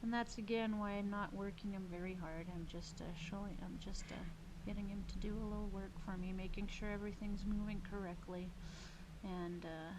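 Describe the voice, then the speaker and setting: A woman narrating a video of her horse's training in a relaxed, halting way with many fillers, sniffling a little. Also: medium pitch, background noise. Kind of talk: casual voice-over commentary.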